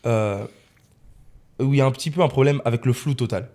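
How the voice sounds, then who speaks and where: A man's voice: mid-range voice, a little fast, darkish tone. A man of twenty-one hosting a casual podcast in a studio, rephrasing his points for emphasis. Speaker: podcast host, freelance video editor.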